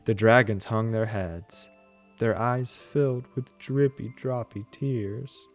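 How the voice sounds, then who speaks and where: A man reading a children's storybook aloud as an animated narrator. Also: on the low side, takes it slowly, soft background music. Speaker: children's book reader.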